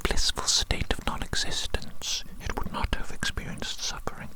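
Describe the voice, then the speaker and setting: An ASMR performer playing an evil mad-scientist doctor who muses slowly and dotingly in a breathy whisper, repeating phrases softly. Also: close to an everyday voice. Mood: brooding, philosophical. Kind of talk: whispered ASMR roleplay monologue.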